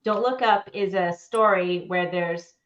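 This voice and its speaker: A woman's voice: lowish voice, measured pace, highs cut off. Middle-aged woman in casual panel chat. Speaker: panelist on an online discussion show. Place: home room on a video call. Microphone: video call.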